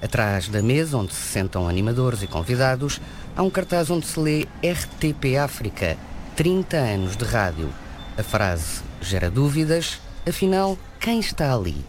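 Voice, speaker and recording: A man from Portugal, narrating a scripted radio news report in a measured voice. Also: medium pitch, sing-song, a little hiss or hum. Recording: music playing behind.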